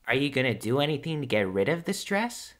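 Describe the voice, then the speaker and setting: A man's voice: mid-range voice, big pitch swings, fairly quick, fairly bright tone. A man playing a brash, teasing young cartoon hedgehog hero in a casual fan audio drama. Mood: concerned, startled.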